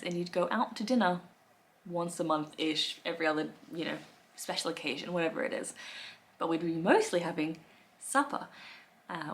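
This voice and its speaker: A woman in her thirties with an Australian accent, chatting wryly to camera from her living room, with soft laughs and fillers. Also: on the low side. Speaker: lifestyle vlogger. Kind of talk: casual talk to camera.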